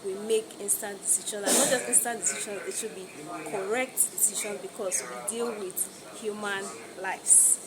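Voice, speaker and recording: A woman with a Nigerian accent answers interview questions as an air traffic controller. She speaks at an average female pitch and explains her work. Loud chatter from other people runs behind her voice. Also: steady tone, moderate pace.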